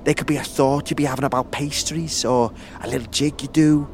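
A man playing a shifty, chatty young street guide in an audio drama, with halting slangy talk. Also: mid-range voice, steady tone, a little hiss or hum, voice pushed up, natural voice. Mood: chatty, sly.

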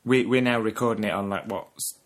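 A man's voice: on the low side, a little fast. Chatty Lancashire man, casual podcast. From Burnley, Lancashire, England. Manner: chatty, laughs often, drops "the". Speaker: podcast co-host. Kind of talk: casual chat. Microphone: video call.

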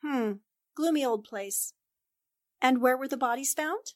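A woman's voice: sits high, measured pace. An actress playing a shrewd, composed newspaperwoman in 1940s radio drama style, probing and decisive. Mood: curious. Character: inquisitive, self-assured female newspaper reporter, shrewd and composed.

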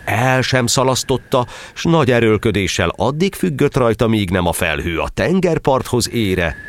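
A man narrating a verse tale for an audio drama in a theatrical, declamatory storytelling style. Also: on the low side. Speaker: narrator and actor. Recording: faint noise, sound-effect ambience behind.

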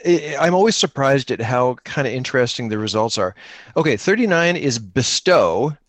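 A man's voice: medium pitch, expressive, moderate pace, no room sound. Man chatting through a tabletop game, dry sound. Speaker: podcast player improvising a solo tabletop game. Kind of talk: casual improvised tabletop game chat.

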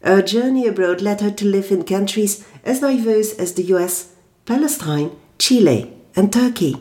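A woman's voice: medium pitch, lively intonation, normal speed, dark tone. Woman podcast host, formal careful way of talking, kinda echoey room. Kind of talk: scripted intro then interview. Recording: roomy echo.

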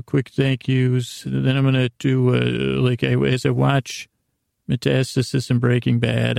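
A man hosting a bedtime podcast in a soothing, meandering monologue, halting often and repeating words as he interrupts himself. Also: mid-range voice, gravelly, darkish tone, dry recording, studio quality. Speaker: host of a bedtime-story podcast.

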